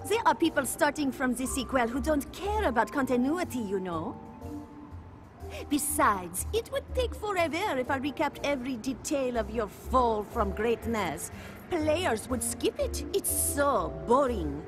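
Sultry manipulator for a video game: coy, smug, highish lilting voice over clear background music. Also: female voice, very animated, a little slow. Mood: dismissive. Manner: coy, condescending, seductive. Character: sultry, teasing, manipulative woman, assassin contest agent. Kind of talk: dramatic video game acting.

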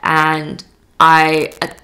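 A woman's voice: sits low, completely flat delivery, extremely slow, sharp tone. A young woman from Poland, a podcast guest talking candidly and chattily, with lots of fillers and restarts. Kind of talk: casual interview chat.

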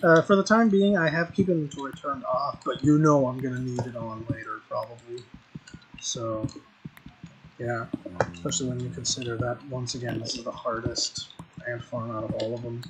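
A man's voice: mid-range voice, steady tone, normal speed. A young man giving casual, rambling commentary while playing a game, with frequent ums and restarts. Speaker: gaming video creator. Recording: noisy home recording with mouse clicks.

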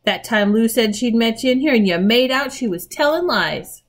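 Give the part of a storybook schoolboy leader to a woman reading aloud. He is an eager, decisive older brother who exclaims in old-fashioned turns of phrase. The pitch is lifted and leaps about with great animation. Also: expressive, moderate pace. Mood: accusing.

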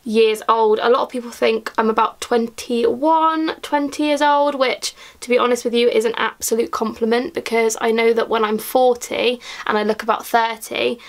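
A woman's voice: on the high side, fairly even intonation, moderate pace, hardly any pauses. Chatty young woman vlogging makeup. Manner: chatty, rambling, frequent ums and likes. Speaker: beauty vlogger. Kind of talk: casual chat while doing makeup. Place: small room indoors.

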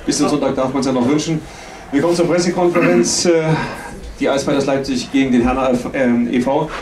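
A man's voice: medium pitch, fairly quick, background noise. A man in his thirties moderating a sports press conference on a handheld microphone. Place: indoor press conference room. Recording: echoey room.

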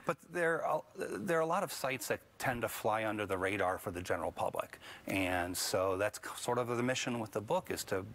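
Deep-voiced middle-aged man, measured. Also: lively intonation, a bit bright. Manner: measured, with hesitations and restarts. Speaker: guest, book co-author. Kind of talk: TV studio interview. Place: TV news studio. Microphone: lapel mic.

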